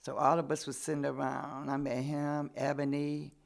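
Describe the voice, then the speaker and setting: A woman's voice: low voice, monotone, normal speed, vocal fry. A woman in her seventies, a community volunteer chatting casually as a guest and retelling what neighbors said to her. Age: 70s. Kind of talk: casual storytelling.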